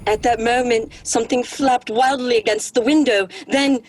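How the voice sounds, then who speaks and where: A woman's voice: on the high side, steady tone, moderate pace, noisy background, natural voice. An actress playing an earnest woman who records a solemn meeting, in formal, precise horror audio drama narration. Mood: tense. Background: music.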